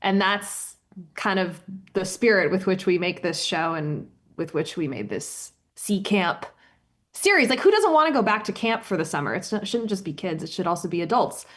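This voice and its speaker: Woman explaining science conversationally, animated and informal. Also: lowish voice, moderate pace. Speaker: science podcast host, interview guest.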